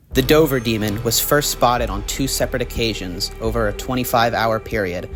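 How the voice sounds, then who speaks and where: A young man reading scripted horror documentary narration in an ominous, dramatic storytelling way. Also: mid-range voice. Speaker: video essay narrator. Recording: music under the voice. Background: background music.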